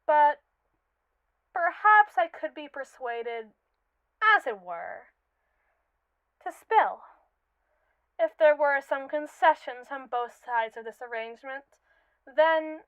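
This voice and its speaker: A woman's voice: sits high, fairly bright tone, smooth, dry recording, lo-fi sound. A woman voicing a haughty, manipulative captive robot in a scripted ASMR roleplay, speaking in a pompous, wordy, theatrically indignant way. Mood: sly, condescending.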